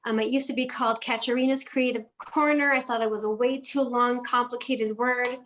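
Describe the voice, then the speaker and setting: A woman who grew up in Denmark speaks as a guest decorator in a relaxed interview. Her voice sits on the higher side, and she chats in a friendly way, stopping for ums and small restarts as she goes. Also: brisk, slight background noise. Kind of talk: casual interview chat.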